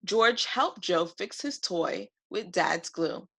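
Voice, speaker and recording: A woman reading a children's picture book aloud for preschoolers in an expressive narrating style. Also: lowish voice, takes it slowly, husky. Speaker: read-aloud host.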